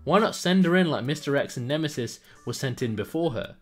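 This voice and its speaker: Higher-pitched man narrating a gaming video. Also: animated, fairly quick, soft background music. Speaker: YouTube gaming channel host. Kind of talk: scripted video essay narration.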